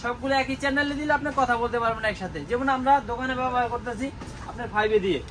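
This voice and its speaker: A Bangladeshi man giving a casual, insistent sales pitch as a shop seller, often checking that the listener follows. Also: fast talker, fairly bright tone, slight background noise. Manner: insistent, repeatedly checks with "okay?". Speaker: toy shop seller presenting products.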